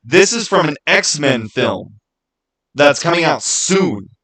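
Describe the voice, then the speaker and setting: A man's voice: a little high, sing-song, takes it slowly, a bit bright, poor audio. A man hosting a livestream, chatting casually and answering viewers in a rambling, conversational way. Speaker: livestream host.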